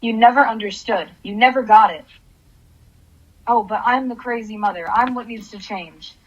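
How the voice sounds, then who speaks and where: A woman's voice: on the high side, moderate pace, close to an everyday voice. Woman acting a brooding mother, slightly muffled. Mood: bitter. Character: troubled, obsessive, grieving divorced mother. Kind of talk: radio play acting.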